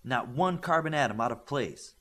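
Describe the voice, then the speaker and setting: A man voicing a sardonic, quick-witted young female superhero in an audiobook, wry and matter-of-fact. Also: mid-range voice, animated, sharp tone.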